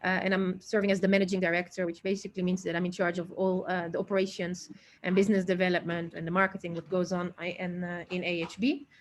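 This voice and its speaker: A woman's voice: fairly low pitch, level tone, fast. A woman from the Netherlands introduces herself as a managing director in an informal online seminar, over internet call audio.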